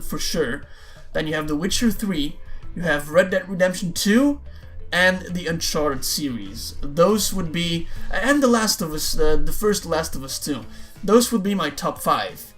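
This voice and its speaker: A man's voice: fairly high pitch, a bit bright. A young man whose first language is Dutch, answering viewer questions in a casual, rambling way, often repeating words and saying "man". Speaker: YouTuber.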